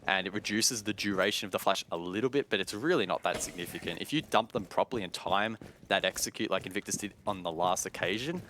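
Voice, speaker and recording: Deeper-voiced guy doing analysis on an esports broadcast, bit of game noise behind. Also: quick pace, a bit bright. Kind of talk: live esports analysis commentary.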